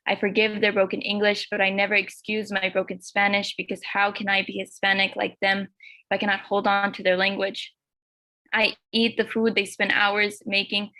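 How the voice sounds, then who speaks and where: A teenage girl from Los Angeles reading her own spoken word poem in an earnest, measured way. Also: medium pitch, sharp tone, dry recording, rough recording. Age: teens. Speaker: youth poet.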